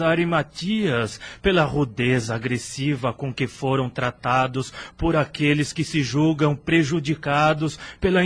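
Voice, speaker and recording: A man playing a gentle, worried, devout father in a religious radio drama, speaking solemnly and formally. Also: on the high side. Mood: apologetic, grave.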